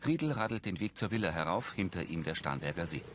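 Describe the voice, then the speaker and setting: Low-voiced man narrating, lively intonation. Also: fast talker, slight background noise. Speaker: audio-description narrator. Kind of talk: scripted narration of a TV film.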